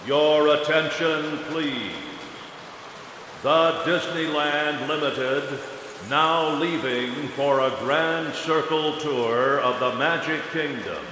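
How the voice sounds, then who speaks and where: A man performing a formal train conductor's boarding announcement in slow, drawn-out calls over a public address. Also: on the high side, steady tone, pushed and bright. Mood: formal, proclaiming. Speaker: railroad station announcer. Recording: echoing station PA with noise.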